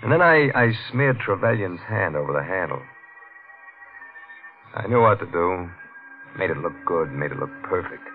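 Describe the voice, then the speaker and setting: A crooked American detective and menacing husband in a vintage radio thriller. His voice is low and gravelly, swinging widely in pitch with hard-boiled, cocky delivery. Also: lively intonation, normal speed, pauses often. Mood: cold, smug. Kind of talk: old-time radio thriller acting and first-person narration. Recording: tinny vintage radio recording, faint music.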